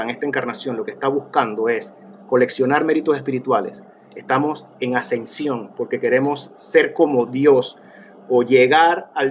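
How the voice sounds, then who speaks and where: A man, pitched in the middle, musing aloud in a relaxed interview. He circles his ideas with restarts and fillers. A faint hiss of noise sits under his voice. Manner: rambling, many restarts and fillers, reflective. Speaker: interview guest, therapist. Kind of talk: casual conversational interview.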